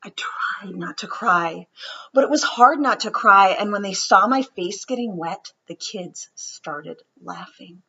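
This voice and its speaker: A woman storyteller reads a children's book aloud in a bright, husky voice that moves through wide swings of pitch. Her phrasing is deliberate and dramatic, dropping soft at times. The recording sounds slightly muffled. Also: animated. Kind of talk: expressive read-aloud narration.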